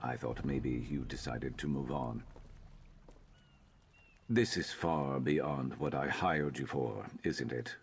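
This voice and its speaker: Very deep, guarded lizardfolk mercenary. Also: male voice, slow pace, vocal fry, long pauses, slightly rough, exaggerated voice. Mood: wry, wary. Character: gruff male lizardfolk mercenary companion, guarded and world-weary. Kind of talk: scripted video game dialogue.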